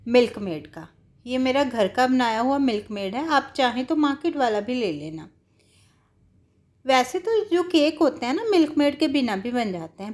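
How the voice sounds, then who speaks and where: A woman giving casual, step-by-step cooking instructions, explaining things directly to viewers. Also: high-pitched. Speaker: home cook giving a recipe tutorial.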